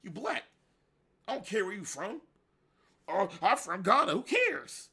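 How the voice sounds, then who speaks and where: A man ranting casually in heavy slang and profanity, animated and blunt. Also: high voice, unhurried, slightly rough. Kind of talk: casual rant.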